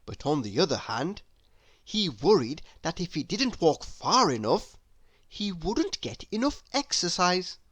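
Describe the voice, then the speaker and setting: Slow, lively woman reading a children's story. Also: fairly low pitch, slightly rough, no room sound. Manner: expressive, deliberate. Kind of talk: read-aloud storytelling.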